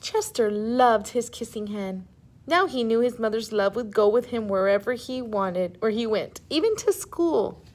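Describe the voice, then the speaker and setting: A woman teacher reads aloud for young children. Her voice is fairly high with very lively intonation. She is expressive and instructive as she tells the story. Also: measured pace. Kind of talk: children's read-aloud with questions to pupils.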